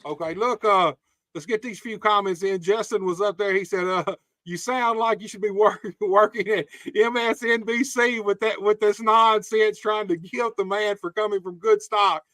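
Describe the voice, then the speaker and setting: A man's voice: high-pitched, steady tone, moderate pace, bright, forceful tone, no echo. A man hosting a wrestling podcast, reading viewer comments and bluntly challenging his guest. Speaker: podcast host. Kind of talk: casual interview.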